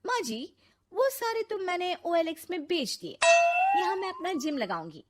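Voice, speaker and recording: A woman's voice: very high-pitched, very animated, moderate pace, very noisy. A woman playing a bold, sporty, self-assured young bride in a cartoon story. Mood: assertive. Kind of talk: cartoon moral story acting.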